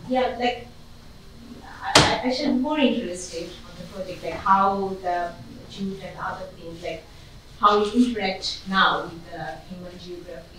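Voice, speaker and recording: A woman from Bangladesh, speaking up from the audience, halting and leaning on "like". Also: lowish voice. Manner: halting, frequent "like". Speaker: audience member. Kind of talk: question-and-answer comment. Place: community conference room. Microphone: far camera. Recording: echoey room from far off, faint hiss.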